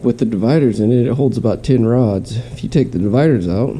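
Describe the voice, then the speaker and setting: A man's voice: medium pitch, fairly quick, mellow, a little hiss or hum. A man with a cold and a stuffy nose, talking in a nasal voice in a rambling, casual show-and-tell for a fishing vlog, recorded at home. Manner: rambling, with restarts. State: stuffy nose, slight cough. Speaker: fishing vlogger. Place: home, in quarantine.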